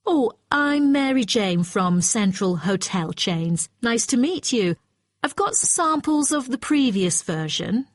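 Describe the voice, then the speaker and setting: Businesslike female client, acted, scripted exam dialogue, precise delivery, fairly high pitch, very dry sound. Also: sing-song, takes it slowly, no echo, heavily acted. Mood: businesslike, firm. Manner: formal, precise, matter-of-fact. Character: brisk, exacting female hotel client requesting brochure revisions.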